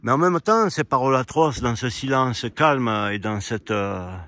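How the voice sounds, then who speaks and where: A man giving a rambling, argumentative opinion monologue, speaking directly to his listeners in an indignant way and halting to search for words. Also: mid-range voice, lively intonation, sharp tone. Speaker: amateur video commentator. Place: quiet spot outdoors.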